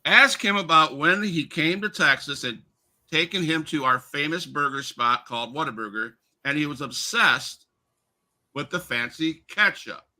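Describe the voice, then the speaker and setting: A man's voice: fairly high pitch, animated, moderate pace, pushed and bright. A man hosting a wrestling podcast, asking questions casually and reading out messages he got. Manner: friendly, reads questions and texts aloud. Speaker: podcast host.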